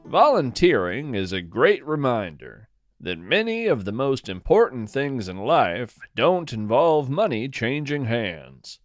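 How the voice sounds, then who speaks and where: A man narrating a scripted first-person story as a podcast host, with a deadpan, whimsical and measured delivery. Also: medium pitch, no room sound, soft background music.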